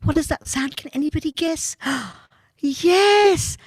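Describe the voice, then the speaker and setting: A woman telling a children's story, animated and talking directly to the children. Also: very high voice, measured pace, no room sound.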